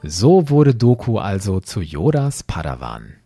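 A man's voice: on the low side, sing-song, unhurried, dark tone, no room sound, clean studio sound, faint music behind. A man speaking as a podcast host, giving scripted commentary on a story.

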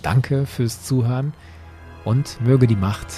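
A man hosting a podcast, giving explanatory, semi-scripted commentary with clear emphasis. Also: mid-range voice, slow, warm tone, faint music behind. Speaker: podcast host.

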